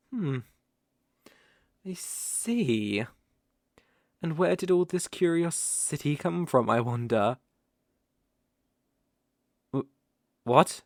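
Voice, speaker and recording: A sly serpent creature, acted by a performer of ambiguous voice in an intimate audio roleplay, speaking extremely slowly in a close, dry recording. The naga coaxes and teases like a predator charmed by small prey, with a smooth drawl and soft chuckles. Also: medium pitch, no echo, heavily acted. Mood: amused, condescending. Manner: slow, teasing, coaxing drawl with soft chuckles. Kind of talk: roleplay ASMR acting.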